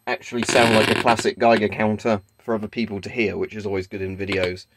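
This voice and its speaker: A man's voice: lowish voice, flat delivery, quick pace. A man talking casually and off the cuff as he demonstrates a gadget on camera in a room at home. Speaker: video creator demonstrating gadgets. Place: small carpeted room at home, indoors. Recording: clear background noise, clicking device. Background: Geiger counter clicks and crackle.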